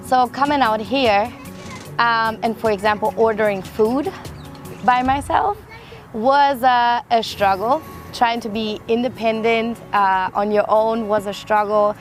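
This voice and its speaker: A woman's voice: on the high side, unhurried, faint music behind. A woman in her twenties from Germany, talking casually about herself in an interview. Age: 20s. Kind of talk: casual personal interview account.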